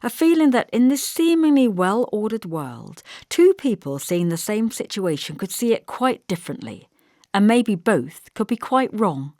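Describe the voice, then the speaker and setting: A woman reading a novel as an audiobook, in expressive, measured first-person narration. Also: mid-range voice, no echo, studio quality.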